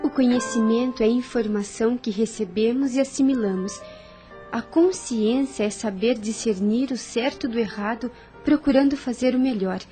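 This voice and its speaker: Woman as a mellow, tender young Brazilian daughter. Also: fairly high pitch, moderate pace, lightly acted. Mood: thoughtful reading. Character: sensitive studious young daughter, tender and thoughtful. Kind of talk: audio drama acting. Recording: soft music under the voice.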